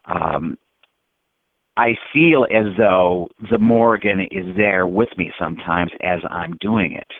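A man speaking as an interview guest, casual and reflective, meandering thoughtfully with halting phrases. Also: on the low side, big pitch swings, gravelly, no room sound. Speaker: musician and songwriter, interview guest. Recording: thin, dry, phone-like line.

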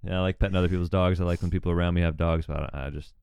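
Deep-voiced joking man. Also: fairly even intonation, racing, gravelly, a little mellow, clear, resonant tone, no echo, studio quality. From Georgia, south of Atlanta. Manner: joking, laid-back, profane. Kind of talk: casual chat.

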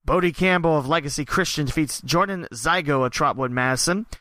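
A hoarse-voiced man with a bright, pressed tone runs through a long list of results in a steady, listing rhythm. His voice sounds strained and worn. The recording is dry and close, with no room sound. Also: fairly high pitch, dry recording. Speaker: podcast host and wrestling announcer. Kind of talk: reading results, then casual reflection.

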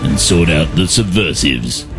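A man's voice: rumbling low, animated, measured pace. A man reading a mock-serious, ominous promo as the show announcer. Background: music.

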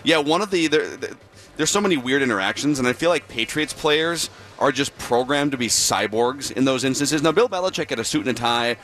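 A man co-hosting a sports radio show in casual chat, excitable and rambling, often restarting his sentences. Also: medium pitch, a little fast. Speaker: radio co-host. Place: broadcast booth at a busy media row.

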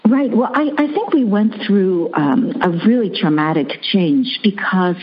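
A woman's voice: medium pitch, lively intonation, measured pace. A middle-aged woman from Hong Kong, an author speaking thoughtfully as a guest, with many "you know" fillers. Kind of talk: conversational interview. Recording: dull lo-fi audio.

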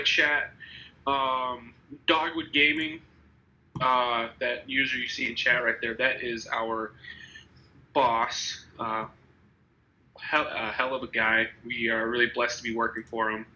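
A man's voice: mid-range voice, flat delivery, a little slow, pauses often, pushed and bright, highs cut off. A young man in his twenties with a slightly congested, nasal voice from a cold, hosting a casual podcast chat and rambling with many restarts. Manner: rambling, restarts, laughs easily. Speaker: podcast host.

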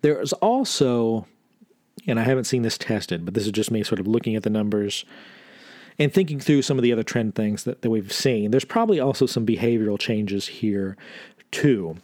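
A man's voice: lowish voice, lively intonation, moderate pace, a little mellow, no echo, clean studio sound. A man hosting a podcast solo, giving news commentary in a conversational, explanatory way with informal wording. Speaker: podcast host.

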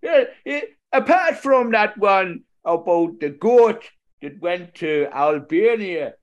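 A shrill, opinionated Scottish man in the street. He rambles emphatically, pitched far up and loud, as a comedy sketch figure. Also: animated, slow pace, dull audio. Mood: emphatic, daft. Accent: put-on Scottish. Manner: rambling, emphatic tag phrases.

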